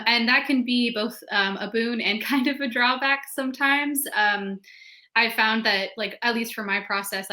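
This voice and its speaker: A woman's voice: medium pitch, sharp tone. A woman speaking as a panelist, explaining the creative process in casual conversation. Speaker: panelist, audio fiction creator.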